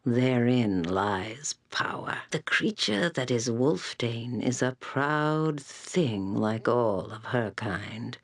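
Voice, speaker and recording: A woman playing a blind old seer in a fantasy video game, fearful and fatalistic, speaking solemnly and cryptically in a breathy, raspy voice with long pauses. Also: rumbling low, animated, full character voice. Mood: grave, ominous. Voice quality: breathy, raspy, whispery creaking voice. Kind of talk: video game character acting.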